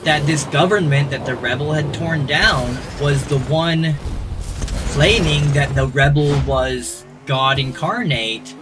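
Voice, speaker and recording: A man's voice: a little high, slow pace, background noise. A male gaming streamer, chatting and ranting casually to viewers in a rambling, droning way with many restarts. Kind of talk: casual livestream chat. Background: clear background music.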